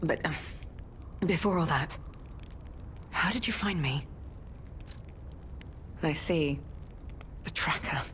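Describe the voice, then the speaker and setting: Soft guarded game lady, lowish voice, really slow n wistful. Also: a little hiss or hum. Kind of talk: dramatic video game cutscene acting.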